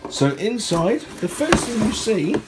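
A man from the UK with a sore throat, chatting casually through an unboxing at home, recorded on a handheld phone and clearing his throat now and then. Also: high voice, sing-song, unhurried, very noisy. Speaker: product reviewer. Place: indoor home room. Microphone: phone held in hand.

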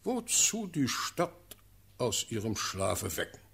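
An actor playing a stern, self-assured ruling prince in a classical verse radio drama, declaiming with measured authority. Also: male voice, mid-range voice, huge pitch swings, vocal fry, fairly bright tone, breathy edge, full character voice. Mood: brooding, ironic.

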